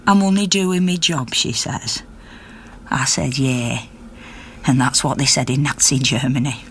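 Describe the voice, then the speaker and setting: A woman's voice: very deep voice, a little slow, slight background noise. An actress playing a middle-aged Yorkshire miner's wife, an indignant activist telling her story conversationally and acting out the dialogue in a naturalistic radio drama. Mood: aggrieved.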